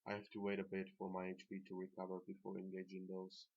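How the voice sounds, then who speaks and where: Low, monotone non-native man, muffled sound. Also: fast talker. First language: not English. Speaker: game guide narrator. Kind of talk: instructional gameplay commentary. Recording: dull, lo-fi microphone sound.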